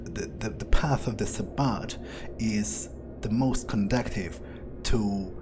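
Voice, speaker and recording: Slow, arrogant vampire played by a man. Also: fairly low pitch, background noise. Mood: arrogant, threatening. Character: arrogant power-hungry male vampire musing on his ambitions. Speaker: gameplay video creator role-playing in character. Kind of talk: improvised in-character monologue. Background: music.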